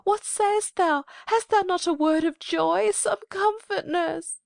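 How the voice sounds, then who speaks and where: A woman's voice: extremely high pitch, slow, vocal fry, no room sound, studio quality, heavily acted. A woman playing an anguished, passionate teenage noble girl in an impassioned Shakespearean dramatic reading. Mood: desperate, pleading. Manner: pleading, impassioned.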